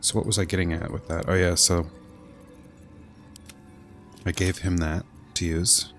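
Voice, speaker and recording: A man's voice: low-pitched, flat delivery, measured pace, soft background music. A man giving casual live gameplay commentary as a streamer, rambling and thinking aloud. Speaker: game streamer.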